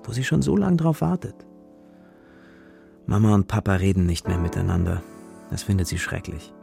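A man narrating a children's story from a script in short, dramatic phrases, with a creaky voice. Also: low voice, normal speed, over music.

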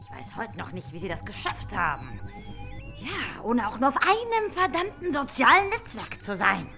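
A woman's voice: fairly high pitch, sing-song, moderate pace, bright, forceful tone, faint music behind, heavily acted. A woman playing a ruthless, pompous business boss, the haughty and scolding villain of a German children's radio drama. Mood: haughty, irritated.

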